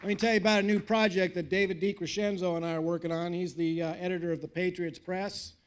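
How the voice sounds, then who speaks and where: A man's voice: high voice, flat delivery, quick pace, some chatter behind, a little hiss or hum. An older man giving a fiery patriotic speech at a live rally, emphatic and preacherly, with wry asides to the audience. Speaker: guest speaker at a political rally. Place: live event in front of an audience.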